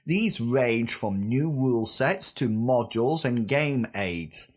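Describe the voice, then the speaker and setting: A man in his fifties hosting a solo podcast, husky from a recent sore throat, chatty with self-deprecating asides. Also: medium pitch, animated, takes it slowly. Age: 50s. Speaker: podcast host. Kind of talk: scripted solo podcast monologue.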